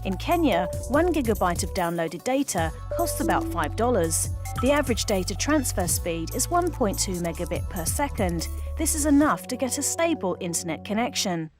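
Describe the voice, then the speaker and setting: A woman's voice: mid-range voice, sing-song, normal speed. A woman narrating a documentary script in an informative manner. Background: music.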